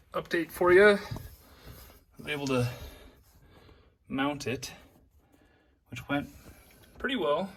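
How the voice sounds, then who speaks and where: Guy doing a casual diy how-to, kinda halting as he works, bit of noise behind him. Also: mid-range voice, fairly bright tone. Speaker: amateur DIY how-to video maker. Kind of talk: casual hands-on install walkthrough.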